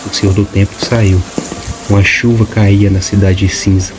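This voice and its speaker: A man narrating an audiobook story in a measured, even reading. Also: fairly low pitch, very noisy. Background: background music.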